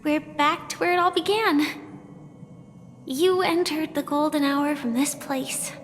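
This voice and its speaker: An actress playing an earnest, wistful young woman hunter in a video game, speaking gently and with measured, hesitant pauses. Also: high-pitched, steady tone, a little hiss or hum. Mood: solemn, tender. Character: soft-spoken young female hunter confessing her secret identity, earnest, wistful. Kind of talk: scripted video game story dialogue. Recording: long reverb, music behind.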